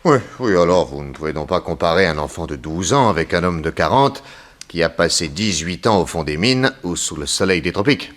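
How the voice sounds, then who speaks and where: Deep-voiced, animated male detective. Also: brisk. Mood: probing. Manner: probing, ironic, theatrical. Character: shrewd, insistent male private detective questioning suspects. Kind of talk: 1960s French radio detective serial acting.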